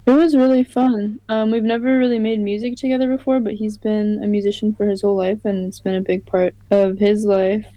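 Mellow young woman musician, flat delivery. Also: mid-range voice, fairly quick, few pauses, no echo, low-quality recording. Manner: relaxed, filler-heavy with frequent "like". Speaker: musician guest. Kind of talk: casual interview answers.

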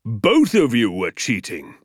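A man's voice: medium pitch, wildly swooping pitch, measured pace, slightly rough, no room sound, clean studio sound, faint music behind, heavily acted. A man voicing a tyrannical, contemptuous ex-army schoolmaster in an acted audiobook, barking and menacing. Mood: quiet menace.

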